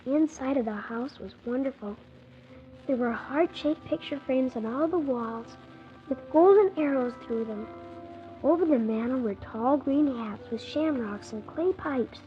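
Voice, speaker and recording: A performer playing Tim, a polite young boy telling his story with earnest, animated wonder on 1940s children's radio. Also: high-pitched. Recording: muffled, hissy 1940s radio transcription.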